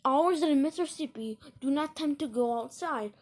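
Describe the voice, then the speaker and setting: A woman's voice: high voice, moderate pace, a little mellow. A woman reading a scripted emergency broadcast announcement in a formal, stilted, solemn way.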